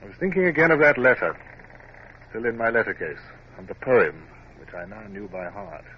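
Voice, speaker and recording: An actor playing a reflective, scrupulous naval officer, narrating formally and in measured phrases in a radio drama. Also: male voice, on the low side, animated, natural voice. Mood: brooding, reflective. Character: reflective, scrupulous young male Royal Navy officer narrating memoirs. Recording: dull, noisy vintage radio drama recording.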